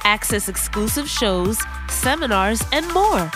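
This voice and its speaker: Slow polished promo voice, woman, music playing under it. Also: on the high side, very animated. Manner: slow polished announcer delivery. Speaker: announcer. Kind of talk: scripted promo read. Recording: promo with loud music bed.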